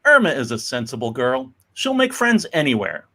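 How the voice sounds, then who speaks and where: A man's voice: medium pitch, wildly swooping pitch, moderate pace, pushed and bright, exaggerated voice. A man acting a genial middle-aged father and merchant in a dramatic comic reading, hearty and sociable.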